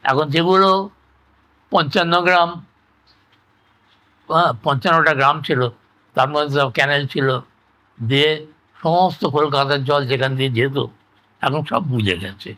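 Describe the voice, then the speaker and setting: Older Bengali man, bright higher-pitched voice. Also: poor audio. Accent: West Bengal Bengali. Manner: halting, repetitive explaining with frequent tag words. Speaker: interviewee recounting his experience. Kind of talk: conversational oral-history reminiscence.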